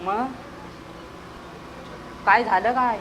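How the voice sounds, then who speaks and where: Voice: medium pitch, steady tone, extremely slow, sharp tone, highs cut off, slight background noise. An amateur stage performer playing an exasperated, bullying villager, scolding and firing repeated questions in a comic play. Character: exasperated, bullying villager demanding his money back. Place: school stage event, live telecast.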